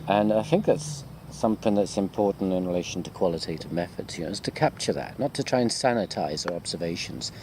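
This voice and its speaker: A man's voice: deep voice, brisk, few pauses, a little mellow, slight background noise. A man lecturing informally to his students in a walking video chat, self-deprecating and conversational, rambling as he goes. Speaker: university lecturer.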